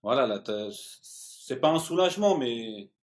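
A man's voice: mid-range voice, animated. A man, asking questions as a show host in a casual, hesitant way. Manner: hesitant, halting phrasing. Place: small indoor room, video call.

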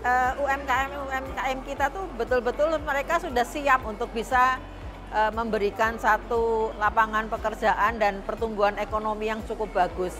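A woman's voice: on the high side, fairly even intonation, moderate pace, music in the background. A woman giving an interview testimonial as a small business owner.

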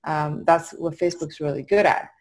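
A woman's voice: deep voice, steady tone, normal speed, darkish tone. A young woman from China with a Chinese accent, giving conversational interview answers as a startup founder on a video call. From Qingdao, China. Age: young adult. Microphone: video call at desk distance.

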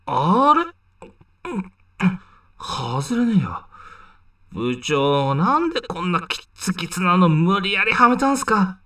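A man playing a reluctant, flippant high-school newcomer in a comedic voice drama, protesting casually and sarcastically. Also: very animated, slow pace, pushed and bright, heavily acted. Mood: deadpan, puzzled. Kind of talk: comedic anime-style voice drama acting. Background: background music.

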